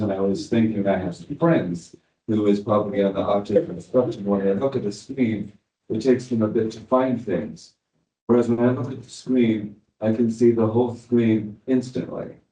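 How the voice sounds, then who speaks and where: A man in the audience with a low, dark voice asks a chatty, personal question. He sits well away from the microphone. The room adds a roomy echo. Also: recorded from a distance. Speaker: audience member asking a question.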